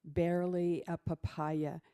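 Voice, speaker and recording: A woman reading a poem aloud during a worship service, soft-spoken and gentle. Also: fairly low pitch, level tone, measured pace, smooth. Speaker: worship reader.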